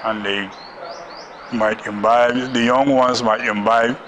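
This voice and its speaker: A man's voice: medium pitch, fairly even intonation, takes it slowly, dull audio. Nigerian man talking amid noisy birdsong. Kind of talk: informal filmed remarks.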